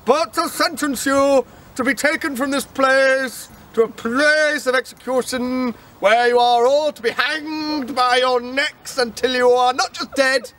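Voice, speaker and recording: A man voicing a stern, pompous old male judge who drawls out booming pronouncements. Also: steady tone, measured pace, few pauses, fairly bright tone, distant mic.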